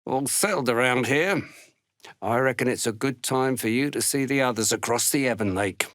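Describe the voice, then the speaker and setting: A man voicing a gruff, fanatical dark dwarf slave hunter in a video game, gravelly, curt, threatening and full of contempt. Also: mid-range voice, moderate pace, no echo, studio quality, lightly acted. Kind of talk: video game character voice lines.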